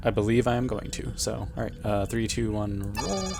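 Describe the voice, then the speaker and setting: A man's voice: on the low side, big pitch swings, normal speed, gravelly, music in the background. A man chatting casually as a player in a tabletop role-playing game. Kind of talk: casual chat.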